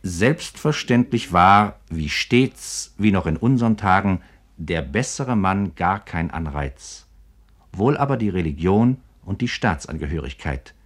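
A man giving scripted literary radio commentary in a deliberate, grave, rhetorical manner. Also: low voice, animated. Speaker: narrator, commentator.

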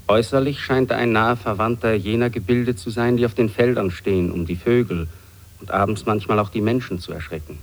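A man reading a literary text slowly and deliberately for a radio feature, with a solemn tone. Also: mid-range voice. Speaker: reader.

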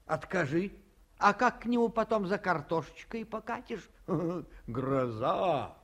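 A man's voice: high voice, lively intonation, takes it slowly, a bit bright, exaggerated voice. An actor playing a tipsy, cheerful village man who chuckles and wheedles. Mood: wheedling. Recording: 1950s studio radio recording.

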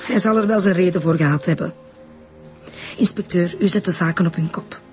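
An actress with a Flemish accent playing a firm, defensive, grieving mistress in a radio drama. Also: female voice, on the low side, brisk, darkish tone, soft background music, lightly acted. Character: dead doctor's defensive, grieving secretary and mistress.

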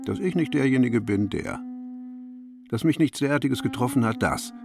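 A man's voice: medium pitch, lively intonation, normal speed, creaky. Sardonic male physics teacher over music. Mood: reflective, intense. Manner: sardonic, pointed. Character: wry, condescending male physics teacher, smugly rational. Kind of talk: theatrical monologue and dialogue in a drama.

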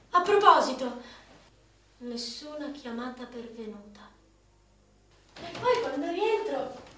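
An actress in a radio play, playing a lonely, sarcastic young woman, a jobless graduate. She drops to a hush when wistful and turns loud and mocking when she rants. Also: high-pitched, slight background noise, exaggerated voice. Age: young adult. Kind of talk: theatrical monologue for radio. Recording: echoey room from far off, music behind.

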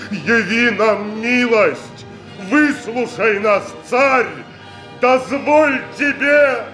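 A man's voice: extremely high pitch, drawn-out words, bright, forceful tone, soft background music, full character voice. An actor playing an earnest commoner beseeching his tsar, pleading and emphatic in a classical radio tragedy. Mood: urgent pleading. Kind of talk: declamatory classical tragedy acting.

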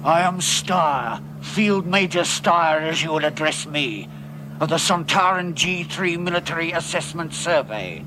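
A man's voice: on the high side, lively intonation, unhurried. An actor playing a cold, commanding male alien military officer, heard in a noisy old TV clip.

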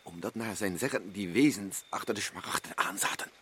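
Male actor: insistent, rattled expedition man, bright tone. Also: on the low side, fairly quick, slight background noise, close to an everyday voice. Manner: urgent, rising to shouts. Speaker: expedition member Joost. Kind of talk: radio drama acting.

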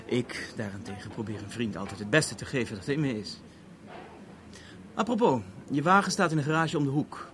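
Urbane, wry police officer, played by an actor, noisy recording. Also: male voice, medium pitch, animated, fairly bright tone, natural voice. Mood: dryly ironic. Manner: measured, formal, wordy, dryly ironic. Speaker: radio drama actor. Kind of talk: 1970s Dutch radio drama acting. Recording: noisy old radio play recording.

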